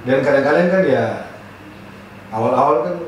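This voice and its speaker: A man from eastern Indonesia talking in a casual group chat, halting and searching for words. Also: medium pitch, lo-fi sound. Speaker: guest. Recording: echoey room, mic at a distance, room noise.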